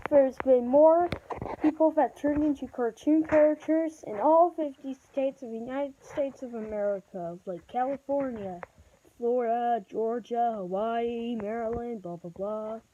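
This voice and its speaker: A woman reading a homemade mock emergency broadcast announcement in a halting, stumbling delivery. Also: a little high, warm tone. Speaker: vlogger acting as emergency alert announcer. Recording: dull, muffled home recording with noise.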